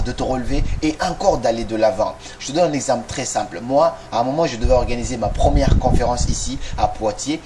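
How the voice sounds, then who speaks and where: Earnest man giving a motivational talk. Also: mid-range voice. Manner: direct, addresses listener as tu, rhetorical questions. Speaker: motivational video host. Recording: noisy recording with clicks.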